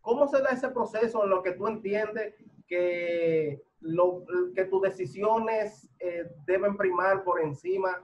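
A middle-aged man hosts from a home office, speaking formally in a somewhat high voice and stammering as he builds long questions. The sound is muffled webcam audio from a video call. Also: slow pace. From Dominican Republic. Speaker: host. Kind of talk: online TV interview.

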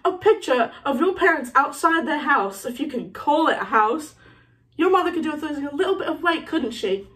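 A woman's voice: high voice, expressive, fairly bright tone, far from the mic, voice pushed up. A woman voicing a spiteful teenage schoolboy bully from a storybook, taunting with a mocking sneer. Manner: taunting, drawn-out mockery.

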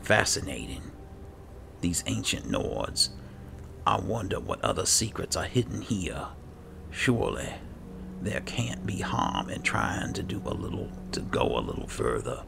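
A man murmuring low-key game commentary, thinking aloud and reading bits as he plays. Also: wildly swooping pitch, unhurried, gravelly, music in the background. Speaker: gamer host playing and roleplaying a fantasy game. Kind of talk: casual game commentary with read-aloud notes.

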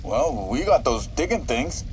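An actor playing a deferential, slightly slow gangster's henchman in a video game. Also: mid-range voice, sing-song, slight background noise.

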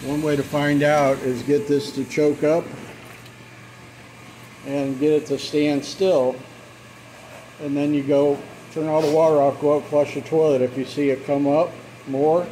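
A man working as a plumber talks through a clogged drain job in a level, unhurried voice that hardly rises or falls. He pauses on fillers as he explains. The noise of running water and machinery fills the basement around him. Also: a little high, rough recording. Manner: relaxed, explanatory, trails off with fillers. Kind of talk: casual how-to commentary while working. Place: basement utility area, indoors.

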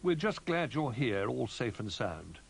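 A man voicing a kindly old teddy bear in a children's story, speaking as a gentle, reassuring elder. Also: mid-range voice, very animated, measured pace, vocal fry, husky, pitched above the performer's own voice, heavily acted.

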